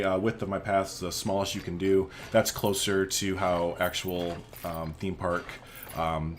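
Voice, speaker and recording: A man hosting a gaming video, rambling casually and thinking aloud as he plays. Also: deep voice. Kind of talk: casual game commentary.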